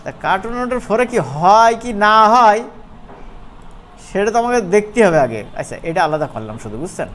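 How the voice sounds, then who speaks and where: A man with a Bangladeshi accent giving an informal, playful software tutorial as an instructor, often checking in with his listeners. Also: high-pitched, expressive, a little fast, a little hiss or hum. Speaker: animation instructor.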